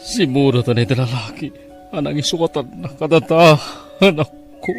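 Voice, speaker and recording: A man's voice: a little high, moderate pace, over music, natural voice. A man with an Ilocano accent playing a sorrowful, abandoned village father in an audio drama. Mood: tearful, hurt.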